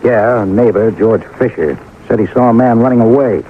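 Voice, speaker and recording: An American actor playing a plainspoken, weary homicide sergeant in a 1950s radio drama, terse and colloquial. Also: male voice, on the low side, moderate pace, natural voice. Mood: dogged. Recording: tinny, noisy old radio recording.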